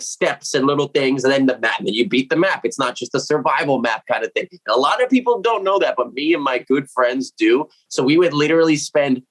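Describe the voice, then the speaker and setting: A man's voice: a little high, fairly bright tone, rough recording. A man in his late twenties, from Puerto Rico, chatting casually and animatedly at home, full of slang and mild swearing, acting out his own reactions. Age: 20s. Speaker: metal vocalist interviewee. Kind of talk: casual chat to camera. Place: home room with cats.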